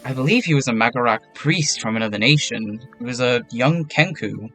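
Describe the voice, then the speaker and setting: Man doing an old priest, slow and formal, nothing flashy. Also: medium pitch, animated, a bit bright, faint music behind, natural voice, rough recording. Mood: courteous. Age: older. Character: old male priest, calm and pious.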